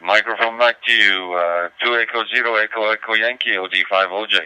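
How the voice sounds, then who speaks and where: A man's voice: lowish voice, fairly even intonation, fairly quick, bright, forceful tone, clear, resonant tone. A man chatting casually over amateur radio, hesitating and repeating his phrases. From Lebanon. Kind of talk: casual ham radio chat. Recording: dull lo-fi digital radio transmission.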